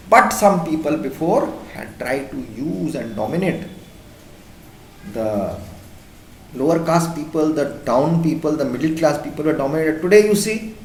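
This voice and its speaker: A male teacher with an Indian English accent, a native Hindi speaker, giving a classroom lecture. He repeats his points and keeps checking in with the class using quick questions. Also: fairly high pitch, slight background noise. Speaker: school teacher. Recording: echoey room.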